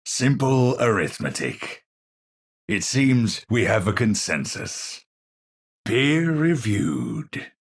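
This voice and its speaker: Wildly dramatic, eccentric Dutch scientist. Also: male voice, fairly low pitch, very animated, slow, husky, heavily acted. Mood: measured, scholarly. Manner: theatrical, formal scientific phrasing. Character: eccentric, unstable male scientist, lofty and absent-minded. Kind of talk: video game character voice lines.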